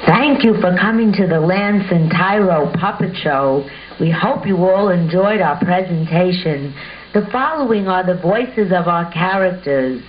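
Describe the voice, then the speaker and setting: A woman's voice: lowish voice, expressive, unhurried. A woman reading scripted narration for an amateur puppet show. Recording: muffled audio with faint background noise.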